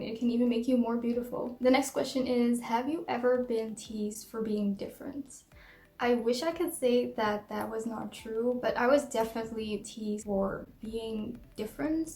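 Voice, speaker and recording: A woman's voice: fairly high pitch, mellow. A young woman from the Netherlands, vlogging in an earnest, reflective way as she reads out questions and answers them. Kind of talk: casual tag video, answering questions to camera. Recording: echoey room.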